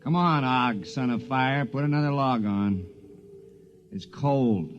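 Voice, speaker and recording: A man's voice: mid-range voice, takes it slowly, close to an everyday voice. An actor playing a gloomy, complaining stranded man in a 1950s radio drama. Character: grumbling stranded man at a cold camp. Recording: lo-fi radio transcription, voice off-mic.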